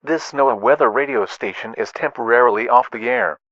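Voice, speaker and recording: A man's voice: medium pitch, a little fast, fairly bright tone, dry recording, close to an everyday voice. A synthetic male voice as an automated weather radio announcer, reading formal bulletins in an impassive, robotic way. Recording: dull lo-fi weather radio broadcast.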